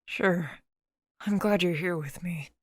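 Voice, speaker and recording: A woman's voice: on the low side, takes it slowly, no echo. Shy young transfem, played by a woman narrator: nervous, hesitant, flustered story dialogue, dry studio sound. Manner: hesitant, mumbling, stuttering when anxious. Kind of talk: scripted audiobook character dialogue.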